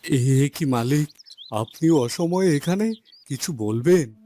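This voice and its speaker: A man's voice: a little high, expressive, takes it slowly, mellow. A man voicing a humble, middle-aged poor farmer and father in a melodramatic cartoon, deferential and pleading. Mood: puzzled, deferential. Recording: background music under the voice.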